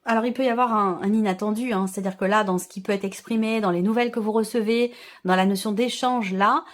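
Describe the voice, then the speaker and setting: A woman's voice: medium pitch, fairly even intonation, fast. A woman giving an unscripted tarot reading to viewers, feeling her way through sentences and often rephrasing. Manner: hesitant phrasing, circles back and rephrases. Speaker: tarot reader.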